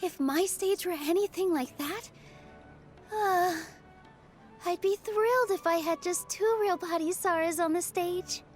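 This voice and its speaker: A woman voicing a cheerful, earnest young female dancer in a video game, expressive and eager. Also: extremely high pitch. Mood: wistful, hopeful. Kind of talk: video game character acting.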